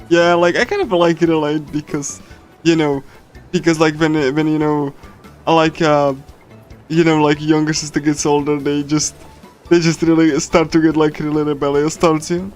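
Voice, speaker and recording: A man with a slightly high voice talks casually on a livestream as he reads through a visual novel. He speaks haltingly, like a giggly, chatty fan, with soft game soundtrack music playing behind him. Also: flat delivery. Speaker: streamer reading a visual novel. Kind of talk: casual livestream chat with loose readthrough.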